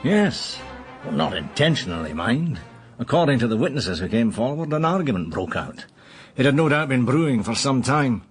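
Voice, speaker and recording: A man's voice: medium pitch, big pitch swings, moderate pace, soft background music, close to an everyday voice. An actor playing an affable, wry male investigator in a conversational radio drama. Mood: measured, explanatory.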